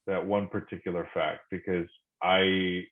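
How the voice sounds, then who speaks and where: A middle-aged man from Korea reflecting in conversation, speaking thoughtfully with many pauses and restarts. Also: low voice, flat delivery. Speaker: podcast guest. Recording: phone-like narrow sound.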